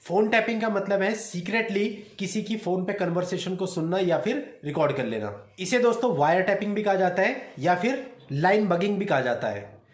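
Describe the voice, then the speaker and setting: A man's voice: sits high, animated, normal speed. A man giving an explanatory legal talk in a didactic way, often addressing his listeners directly as an advocate presenting to his audience. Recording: echoey, roomy sound.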